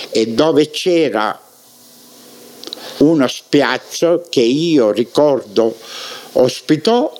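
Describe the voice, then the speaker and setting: A man from Sicily narrating a walk through a town's old streets in a measured, reminiscing way. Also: mid-range voice, darkish tone. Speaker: local history narrator. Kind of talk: descriptive narration of a town walk.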